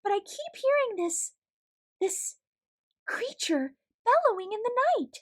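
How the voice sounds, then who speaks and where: A woman's voice: very high voice, big pitch swings, unhurried, pitched above the performer's own voice, heavily acted. A woman voicing a small, dainty female mouse in a storybook, chatty and easily startled, with a dramatic way of talking. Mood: indignant, fretful.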